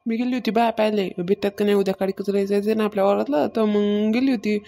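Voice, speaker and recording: A woman acts out a hardworking village wife in a comedy cartoon. She is bossy and plain-spoken, with little rise and fall in a medium-pitched voice. Her accent is rural Maharashtra Marathi. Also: fairly even intonation, fast talker, warm tone, close to an everyday voice. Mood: businesslike.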